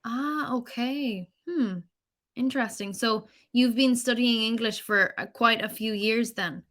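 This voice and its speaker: A woman's voice: on the high side, unhurried, a bit bright. A woman from Ireland, tutoring English in a patient, encouraging way and asking follow-up questions. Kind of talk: online tutoring conversation.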